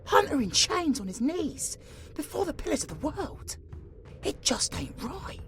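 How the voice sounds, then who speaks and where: Woman voicing a high, very animated goblin. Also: unhurried, background noise, exaggerated voice. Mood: troubled. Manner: animated, blunt, bickering. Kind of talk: fantasy audio drama acting.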